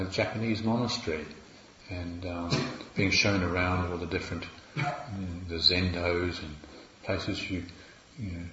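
A Buddhist monk with a New Zealand accent gives a dharma talk in a gentle, reflective way, with wry asides. His voice sits on the low side for a man. The recording is roomy and a little dull, with faint ambience behind him. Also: a little hiss or hum.

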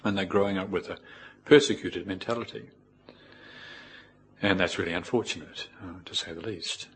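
A middle-aged man with a New Zealand accent giving an informal, reflective Buddhist talk in a measured way, with pauses. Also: low voice, steady tone, poor audio. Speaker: Buddhist monk, teacher. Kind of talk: informal spoken dharma talk with personal anecdotes.

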